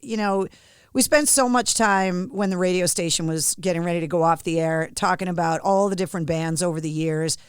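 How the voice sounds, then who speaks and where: A woman's voice: fairly low pitch, fairly quick, clear, resonant tone, no room sound, studio quality. A woman hosting a casual interview, laughing often and cutting in with playful quips. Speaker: podcast host.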